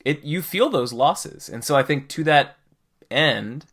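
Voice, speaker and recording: A man's voice: on the high side, animated, a little slow, fairly bright tone. A man hosting a podcast in a conversational, reflective way, often checking in with the listener. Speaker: podcast host. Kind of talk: casual podcast discussion.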